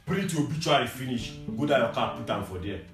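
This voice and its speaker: Nigerian male, animated slangy storyteller, distant echoey mic, guitar music underneath. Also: mid-range voice, fast. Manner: animated, slangy, repeats himself for emphasis. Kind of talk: casual banter and storytelling in Pidgin-mixed English.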